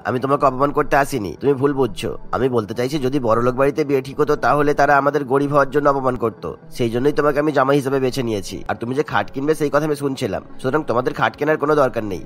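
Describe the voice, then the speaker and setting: Cartoon father of the bride, played by a man: middle-aged, earnest, quick-spoken, mid-pitched, faint music behind. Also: close to an everyday voice. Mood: earnest, placating. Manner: explanatory, earnest. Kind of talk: Bengali cartoon acting.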